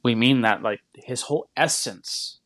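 Male podcast guest, mid-pitched, reflective and explanatory conversational delivery, dry recording. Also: unhurried, a bit bright, dry recording.

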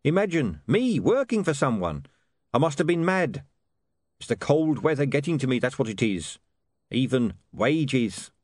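A man's voice: fairly high pitch, sing-song, moderate pace, darkish tone, dry recording, studio quality, pitched above the performer's own voice. A man voicing a cheerfully unscrupulous middle-aged street huckster in an audiobook, matey, wheedling and full of excuses. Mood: mournful, aggrieved.

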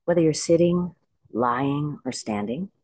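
A meditation teacher leading a guided body scan in slow, unhurried phrases with pauses. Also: medium pitch, animated, darkish tone, no echo, clean studio sound.

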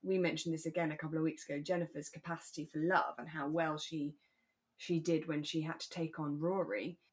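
A woman co-hosting a podcast, talking in a relaxed, reflective and agreeable way about a drama. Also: sits low, fairly quick. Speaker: podcast co-host. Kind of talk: relaxed discussion of a radio drama.

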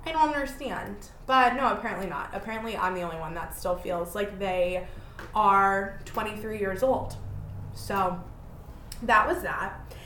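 A woman's voice: mid-range voice, unhurried, pushed and bright, echoey room, slight background noise, low-quality recording. A woman, hosting her own podcast and telling rambling, self-deprecating stories in casual chat. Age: 20s. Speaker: podcast host.

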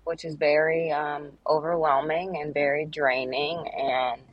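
A woman's voice: low-pitched, fairly even intonation, measured pace, dry recording, lo-fi sound. A woman co-hosting a casual podcast chat, tired from hospital work, laid-back and slangy. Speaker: podcast co-host, hospital worker.

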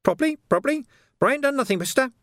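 A man reading a story, voicing a sly, cheeky street urchin boy with cocky slang in a high, lively voice. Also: rapid-fire, no room sound, studio quality, exaggerated voice.

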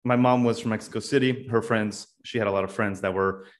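A young man from California, a podcast guest telling his story in a loose, casual way with many pauses and "uh"s. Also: lowish voice, steady tone. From California, USA. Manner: loose, thinks aloud with many "uh"s.

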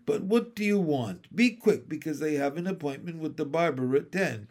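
A man's voice: fairly high pitch, lively intonation, measured pace. A man voicing a pompous, impatient and self-satisfied storybook king with a magnificent, commanding manner. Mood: irritable, impatient. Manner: magnificent, commanding, easily irritated.

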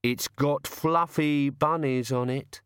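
A man voicing a firm, quietly menacing young governess in an audiobook. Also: medium pitch, fairly even intonation, slow, clean tone, dry recording, studio quality, pitched above the performer's own voice. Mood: stern. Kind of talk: audiobook character voice.

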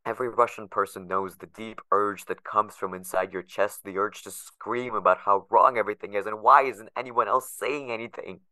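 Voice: deep voice, steady tone, normal speed, few pauses, bright, forceful tone, dry recording, rough recording. An actor playing a sardonic, guarded young adult patient held in a facility, narrating in the first person with bitter sarcasm. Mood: angry.

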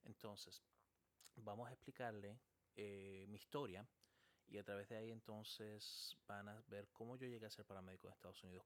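Chatty man, podcast host. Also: on the low side. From Chile, raised in Dominican Republic. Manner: conversational, with frequent filler "eh". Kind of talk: solo podcast monologue.

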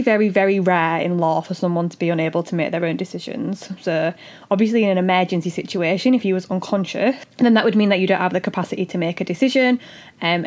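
A woman with a husky, fading voice, hosting an educational podcast and explaining things earnestly, step by step. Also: fairly low pitch, a little mellow. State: husky voice after illness. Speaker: podcast host and doula. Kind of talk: solo educational podcast talk.

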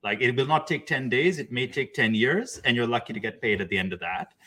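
A man's voice: medium pitch, fairly quick, pushed and bright. A man from Pakistan speaking conversationally as a panelist, in a discursive way with many small asides.

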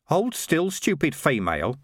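Male narrator as rat squad leader, gruff, bossy, curt, commanding, storybook animal fantasy. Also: on the high side, wildly swooping pitch, measured pace, no echo, clean studio sound, pitched above the performer's own voice, full character voice. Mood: threatening.

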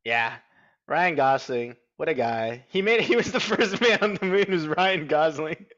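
High-pitched young man bantering, slightly dull audio. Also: lively intonation, unhurried, a bit bright. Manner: teasing, mock-combative, slangy. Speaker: podcast co-host. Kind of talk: casual banter.

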